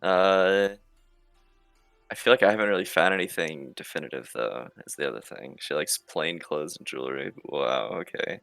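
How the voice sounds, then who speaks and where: A man's voice: very deep voice, normal speed, creaky, a bit bright, no room sound, soft background music. A man playing a tabletop roleplaying game, chatting casually and thinking aloud in a hesitant way.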